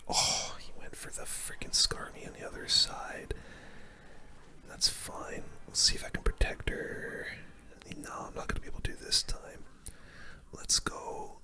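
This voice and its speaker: ASMR gaming host, unvoiced whisper, very bright, breathy, casual slangy play-by-play. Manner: hushed, casual gamer slang. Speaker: gaming video host playing a card battle game.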